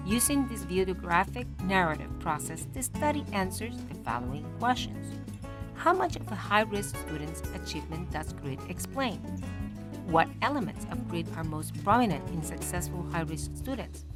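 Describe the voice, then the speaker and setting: A woman's voice: fairly low pitch, very animated, normal speed. A woman reading a scripted research narration in a formal, academic manner. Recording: music behind the voice. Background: music.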